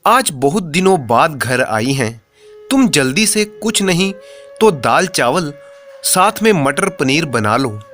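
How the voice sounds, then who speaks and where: Miserly schemer husband read by a male storyteller, comic tale, instructive and sly, lightish pitch, unhurried. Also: sing-song, fairly bright tone, soft background music, exaggerated voice. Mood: falsely hospitable.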